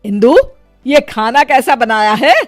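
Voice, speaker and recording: A woman puts on a bossy middle-aged mother-in-law for a family moral tale. Her voice is high and loud, with sharp, commanding delivery. She speaks at a slow pace. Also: dry recording, soft background music, close to an everyday voice. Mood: scolding, angry. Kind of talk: acted Hindi moral storybook dialogue.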